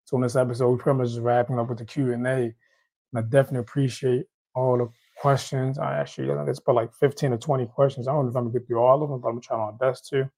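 A man's voice: medium pitch, little pitch movement, very fast, vocal fry, mellow, low-quality recording. A man from Haiti with a Haitian accent, hosting a casual question-and-answer show on credit, talking loosely and often restarting his phrases. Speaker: host.

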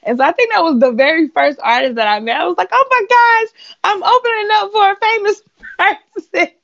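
A woman in her twenties, a singer being interviewed, chatting casually in a giggly, animated storytelling way. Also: very high voice, a little fast, vocal fry, dull audio. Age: 20s. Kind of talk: casual chat.

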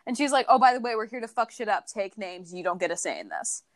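A woman's voice: medium pitch, lively intonation, fast talker, no room sound. A young woman co-hosting a podcast, chatting casually and telling stories in a blunt, crude, playful way. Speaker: podcast co-host. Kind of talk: casual chat.

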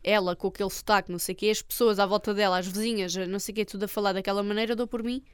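A woman's voice: mid-range voice, steady tone, very fast, smooth, no room sound. A young woman with an Algarve Portuguese accent, chatting casually and anecdotally as a podcast co-host. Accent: European Portuguese, Algarve. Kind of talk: casual chat.

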